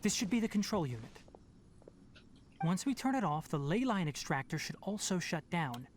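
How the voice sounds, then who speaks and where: An actor playing a calm, thoughtful young male scholar in a video game, speaking in a measured, articulate way. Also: high voice, slight background noise. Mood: calm, purposeful.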